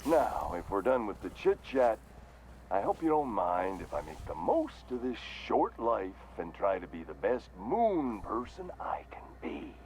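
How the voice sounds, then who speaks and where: A man's voice: lowish voice, huge pitch swings, unhurried, a little mellow. An actor playing a corporate vendor in a mascot helmet in a video game, with forced salesman patter over weary resignation. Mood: weary, resigned. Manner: forced salesman cheer with slogans, slipping into resignation. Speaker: shop vendor.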